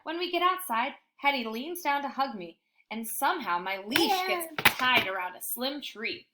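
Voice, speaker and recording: A woman reading a children's book aloud in an animated, emphatic storytelling style. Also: high voice, moderate pace, very noisy. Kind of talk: expressive children's book narration.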